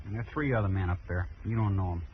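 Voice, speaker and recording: A bitter young American cattle rustler played by a man talks back in a sullen, fairly low drawl. The sound is a tinny, lightly hissy old radio western. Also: very fast, lightly acted. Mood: sullen, defiant. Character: defiant, bitter young rancher's son turned cattle rustler. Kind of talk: 1950s radio western acting. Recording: tinny, faintly noisy old radio broadcast.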